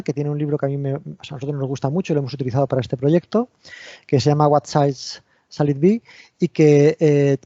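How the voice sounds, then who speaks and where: A man's voice: fairly high pitch, warm tone, no echo, muffled recording. A man with an accent from Spain giving an online technical talk, explaining step by step and often restarting his sentences. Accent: Spain Spanish. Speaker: invited lecturer.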